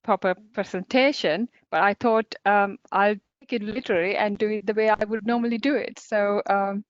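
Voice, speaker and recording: A woman's voice: medium pitch, steady tone, moderate pace, no room sound. A woman in her forties with a Sri Lankan accent, originally from Sri Lanka, speaking as a guest at an online conference in a chatty, upbeat way, with lots of fillers and small laughs. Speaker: guest speaker, cybersecurity company director. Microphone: video call. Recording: dull lo-fi video call audio.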